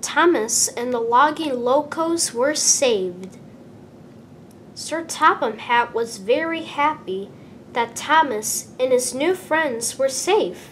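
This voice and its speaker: Slow, high-voiced woman reading a storybook. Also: expressive, fairly bright tone. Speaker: storybook reader. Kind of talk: expressive read-aloud children's narration. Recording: clear background noise with low rumble.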